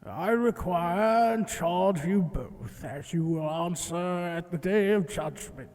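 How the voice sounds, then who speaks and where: A man playing a solemn country clergyman in a period audio drama, ceremonious and measured. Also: high voice, lively intonation, darkish tone. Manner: ceremonious, measured, indignant when challenged. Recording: echoing church hall.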